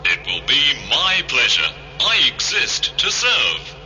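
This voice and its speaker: An actor voicing an unfailingly polite, evasive hotel computer with formal, obsequious manners in a radio drama. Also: male voice, on the high side, wildly swooping pitch, slow, sharp tone, a little hiss or hum, heavily acted.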